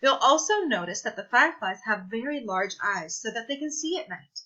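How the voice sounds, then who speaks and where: A woman reading a children's science picture book aloud, with clear, deliberate, teacherly phrasing. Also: fairly high pitch, animated, pushed and bright, muffled recording. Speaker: read-aloud presenter.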